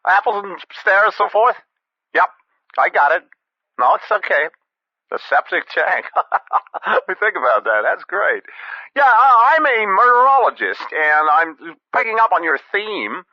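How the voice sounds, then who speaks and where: An actor playing an earnest, pedantic, overexcited American murder expert phoning in to a spoof radio show. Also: male voice, high-pitched, big pitch swings, a little slow, dry recording, full character voice. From Wisconsin, USA. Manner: pedantic, enthusiastic. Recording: slightly dull phone-in line.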